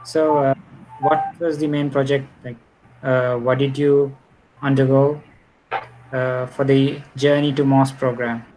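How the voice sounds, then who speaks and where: A young man from Sri Lanka co-hosting, speaking haltingly with many fillers. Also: medium pitch, flat delivery, noisy background. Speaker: co-host.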